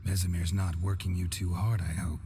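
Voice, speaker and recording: An actor playing a gruff, laconic monster hunter with a dry, deadpan delivery in a video game. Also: male voice, low-pitched, moderate pace, over music, natural voice. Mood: wry. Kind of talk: video game cutscene acting.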